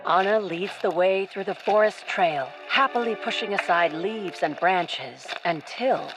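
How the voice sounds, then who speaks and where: A woman's voice: lowish voice, unhurried, low-quality recording. A woman narrating a scripted radio-play adventure as a storyteller. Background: music.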